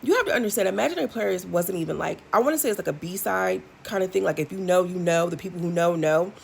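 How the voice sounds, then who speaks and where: A woman's voice: fairly low pitch, lively intonation, fast, gravelly, a little mellow, slight background noise. A woman in her thirties giving blunt, sassy music commentary for her video channel, with lots of ums and asides. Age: 30s. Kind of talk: casual opinion commentary.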